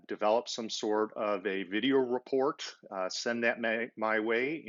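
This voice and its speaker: A man's voice: fairly low pitch, fairly bright tone, dull audio. A middle-aged man hosting a children's story time, explaining things informally and directly to young pupils. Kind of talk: informal educational talk to children.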